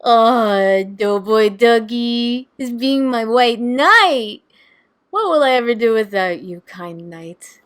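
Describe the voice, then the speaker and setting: A woman's voice: a little high, animated, slow, clean tone. A woman playing a teasing, sardonic young woman in an audio drama, with playful, sarcastic banter. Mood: mock-grand teasing.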